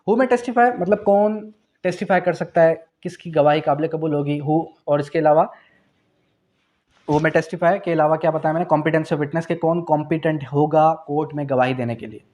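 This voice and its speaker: Male law lecturer, Pakistani accent, slightly high voice, didactic delivery built on self-answered questions and repetition. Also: normal speed, a little mellow. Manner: repeats key phrases for emphasis; switches between Urdu and English terms. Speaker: law teacher. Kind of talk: exam revision lecture.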